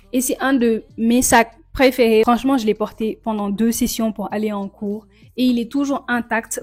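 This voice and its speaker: A young woman from Senegal talks casually and enthusiastically to her viewers, dropping English words into her speech. Her voice sits a little high. Soft background music plays under her. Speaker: fashion vlogger. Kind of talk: casual vlog talk to camera.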